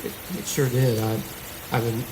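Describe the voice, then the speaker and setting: A man's voice: medium pitch, level tone, moderate pace. A young man from South Carolina, a musician guest giving brief, polite answers in a live stage interview. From South Carolina, United States. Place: live stage broadcast show. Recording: very noisy, echoey stage recording.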